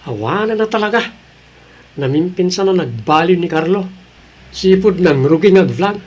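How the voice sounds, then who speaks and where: A man's voice: high-pitched, expressive, normal speed, a little mellow. A man with an Ilocano Filipino accent playing a sensible, disapproving husband in an audio drama, with an earnest, moralizing way of talking.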